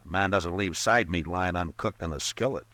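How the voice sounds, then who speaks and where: An actor playing a steady, authoritative frontier marshal in an old radio drama. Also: male voice, sits low, moderate pace. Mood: calm. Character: steady frontier marshal, middle-aged man. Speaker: lead actor. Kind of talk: 1950s radio drama acting. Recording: old radio broadcast recording.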